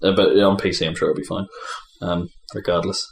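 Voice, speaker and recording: Roomy echo, low voiced guy hosting a loose sweary games chat, bit of noise behind. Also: fairly even intonation, fairly quick, gravelly. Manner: informal, sweary. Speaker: podcast host. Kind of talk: casual gaming podcast chat.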